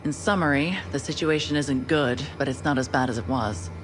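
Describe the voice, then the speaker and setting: Blunt, low-voiced female field commander, music behind. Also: brisk. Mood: matter-of-fact briefing. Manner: matter-of-fact, blunt briefing. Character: steady, candid female agent and acting division commander. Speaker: commander briefing an agent. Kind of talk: scripted game cutscene dialogue.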